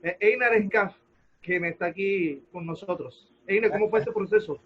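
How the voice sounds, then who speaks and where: A man hosting a spontaneous interview, often halting as he searches for words. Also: high-pitched, highs cut off, slight background noise. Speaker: host, interviewer.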